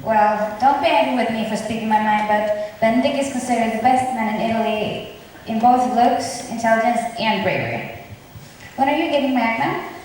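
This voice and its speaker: A woman in a student drama club playing a loyal, frank young waiting gentlewoman on stage. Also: fairly high pitch, moderate pace, lightly acted. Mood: frank, agreeable. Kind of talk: amateur stage acting. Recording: very echoey hall, distant mic, faint hiss.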